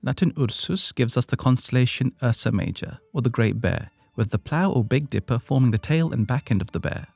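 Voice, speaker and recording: A man's voice: mid-range voice, gravelly, a little mellow, no echo. A British man hosting an educational podcast, reading a script with playful, self-deprecating asides. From Britain. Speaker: podcast host.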